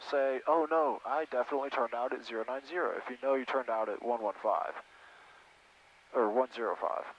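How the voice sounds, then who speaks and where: A male flight instructor coaches in a casual, encouraging way over a cockpit intercom. His voice is mid-pitched and slightly muffled, with engine drone behind it. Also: fairly quick, a little hiss or hum. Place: small airplane cockpit in flight. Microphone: aviation headset intercom.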